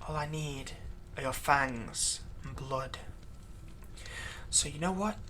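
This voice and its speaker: A man playing a vengeful slave who is turning himself into a vampire, in a close roleplay monologue, taunting and theatrical, dropping into breathy whispers. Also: mid-range voice, slow, bright, forceful tone, a little hiss or hum. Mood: menacing, determined. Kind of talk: roleplay audio acting, close ASMR monologue.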